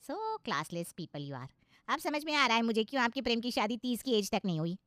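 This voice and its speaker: A haughty modern mother of a bride, acted out by a woman in a cartoon moral story. Her voice is high and mocking, sprinkled with English, and recorded very dry. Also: animated, normal speed, fairly bright tone, dry recording. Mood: haughty, scornful.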